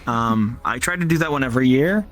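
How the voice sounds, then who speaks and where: A man's voice: medium pitch, expressive, fairly quick, fairly bright tone, poor audio. A man in his twenties chatting casually as a guest, hesitant with frequent restarts and ums. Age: 20s. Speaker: guest streamer. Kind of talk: casual chat.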